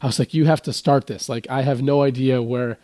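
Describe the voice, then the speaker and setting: A man's voice: medium pitch, fairly quick, dry recording. A young American man, a musician guest on a podcast, telling a story casually, often restarting and acting out what others said. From United States. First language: English. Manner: rambling, with frequent restarts and animated quoting.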